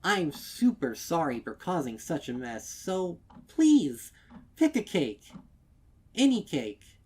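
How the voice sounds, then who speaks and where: A man's voice: a little high, wildly swooping pitch, slow pace, a little mellow, husky, voice pushed up, full character voice. A man voicing an eager young female cartoon pony baker, bubbly and over-eager to please, in a storybook reading. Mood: insistently cheerful.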